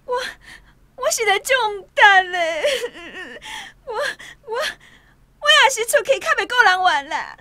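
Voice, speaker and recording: An actress with a Taiwanese accent playing an aggrieved, stubborn young daughter-in-law in a radio drama. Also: female voice, very high voice, sharp tone, heavily acted. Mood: wailing.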